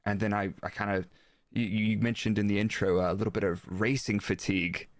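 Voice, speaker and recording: A man's voice: on the low side, level tone, brisk, no echo, studio quality. A man talking casually as an interview guest, explaining technical work in the manner of an engineer. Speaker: guest, former Formula One performance engineer.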